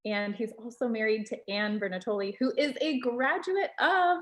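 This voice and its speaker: A woman with a fairly high voice acts as host. She reads out a prepared introduction and welcome for a guest. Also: normal speed, a bit bright.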